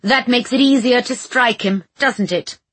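A woman's voice: on the high side, a little slow, a bit bright, slightly rough, dry recording. A woman voicing a pompous, violent nobleman in an audiobook, haughty and commanding with archaic insults. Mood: mocking.

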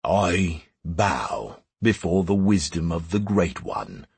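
A man voicing a grave, humble emperor in a fantasy audiobook, measured and deferential. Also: deep voice, big pitch swings, slightly rough, dry recording, pitched below the performer's own voice, full character voice. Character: new male emperor, grave, humble and grateful.

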